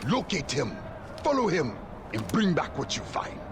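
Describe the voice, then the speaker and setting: A fiery former warlord who now preaches. His high voice leaps wildly up and down as he booms out scripture. Loud game ambience hums behind him. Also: wildly swooping pitch, unhurried, noisy background. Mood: solemn. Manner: preachy, booming, scripture-quoting. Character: fervent male ex-warlord preacher. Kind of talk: video game acting.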